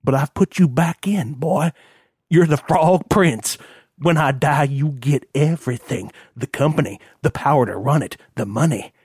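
A man's voice: fairly high pitch, a little slow, a little mellow, slightly rough, dry recording, clean studio sound. A man voicing a stern, bitter, ailing old rich father in an audiobook, scolding and folksy as he gives orders. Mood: gloating. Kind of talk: audiobook character acting.

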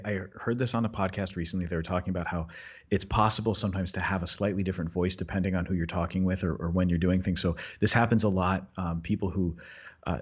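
A deep-voiced, husky man talks easily on a podcast, chuckling and often circling back to restart his sentences. He sounds relaxed and conversational. The recording is a bit dull and lo-fi. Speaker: preacher and podcast co-host. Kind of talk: casual chat.

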